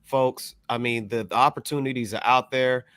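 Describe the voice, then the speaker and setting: A man's voice: medium pitch, steady tone, normal speed, a bit bright, clean tone, no echo, studio quality. A man chatting as a panelist on a podcast, wordy and affirming, often repeating short phrases. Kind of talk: casual panel chat.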